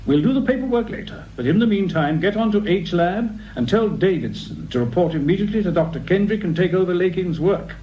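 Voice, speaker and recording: An imperious English boss in a radio play, curt and pompous. His voice is fairly high and very animated, on a slightly dull recording with room echo. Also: brisk, few pauses. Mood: haughty, irritated. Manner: pompous, brusque, condescending. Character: imperious, curt, officious male research centre director. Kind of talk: British radio crime drama acting. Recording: roomy, muffled, slightly noisy radio recording.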